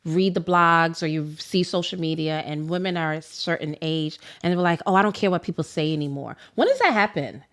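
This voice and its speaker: A woman co-hosts a podcast, her voice rising and falling in lively inflection. She backs the others up constantly and asks thoughtful questions. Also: low voice, brisk, hardly any pauses. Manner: supportive, frequent agreeing interjections. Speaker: podcast co-host. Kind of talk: casual chat.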